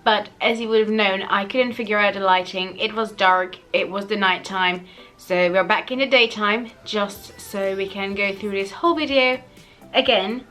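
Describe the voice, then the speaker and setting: A young woman with a slightly croaky voice after the flu, chatting casually through a makeup tutorial and often correcting herself. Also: medium pitch, steady tone, moderate pace, pushed and bright, faint music behind. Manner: chatty, self-correcting, giggly asides. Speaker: beauty vlogger.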